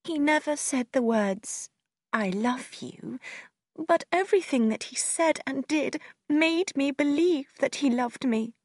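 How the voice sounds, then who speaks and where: A woman's voice: sits high, lively intonation, a little slow, a little mellow, dry recording, studio quality, voice pushed up. A woman voicing a passionate, heartbroken young woman in an audiobook, with a tearful, pleading manner. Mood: pleading, defensive. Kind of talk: audiobook character acting in a simplified classic novel.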